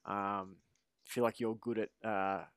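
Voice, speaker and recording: A man's voice: lowish voice, flat delivery, slow, smooth, dry recording. A man co-hosting a sports podcast, chatting playfully and reading a story aloud. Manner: playful, laughs often. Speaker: podcast co-host.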